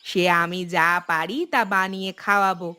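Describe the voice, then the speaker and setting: A woman voicing a kindly old village grandmother in a cartoon, affectionate and unhurried, lingering over her words. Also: medium pitch, steady tone, sharp tone, a little hiss or hum, close to an everyday voice. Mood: fond, reassuring. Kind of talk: Bengali cartoon voice acting. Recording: music behind the voice. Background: background music.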